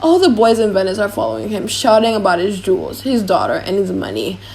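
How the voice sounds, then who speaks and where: A woman playing a gossipy, excitable young man in conversational audiobook drama dialogue. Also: medium pitch, a little fast, vocal fry, a little hiss or hum, low-quality recording.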